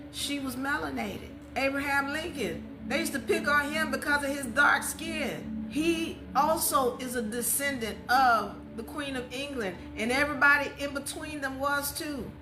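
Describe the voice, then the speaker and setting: A woman's voice: sits high, normal speed, hardly any pauses. A woman giving an impassioned, informal history lecture in an emphatic, didactic way, often asking rhetorical questions. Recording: music under the voice. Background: music.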